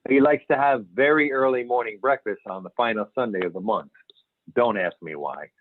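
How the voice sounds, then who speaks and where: A man's voice: medium pitch, fairly quick, no echo, natural voice. Phone-band sound, dry, a man acting chatty male academic, mildly self-important, hesitant script reading. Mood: dryly amused. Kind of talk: amateur readers-theater script reading. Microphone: conference call. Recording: tinny phone-like line.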